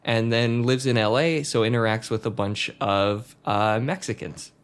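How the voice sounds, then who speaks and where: A man's voice: medium pitch, normal speed, smooth. A man co-hosting a podcast in casual chat, explaining things easygoingly with hesitant fillers. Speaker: podcast co-host.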